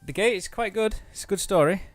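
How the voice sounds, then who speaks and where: A man's voice: high voice, fairly quick, dry recording. A man co-hosting a let's play, commenting casually on a game with his partner.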